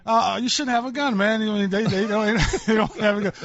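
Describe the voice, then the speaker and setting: A man's voice: sits high, fairly even intonation, fairly quick, creaky, fairly bright tone, lo-fi sound. A man hosting a radio show on location, interviewing casually and chattily, often repeating what guests say back to them. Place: inside a sport shop with shooting range.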